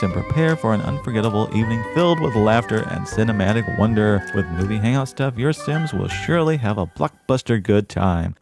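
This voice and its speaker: A man's voice: mid-range voice, darkish tone, lightly acted. A man playing a cheery, genteel old-time radio host, speaking in an effusive, theatrical and formal announcer manner. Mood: upbeat, promotional. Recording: music behind the voice.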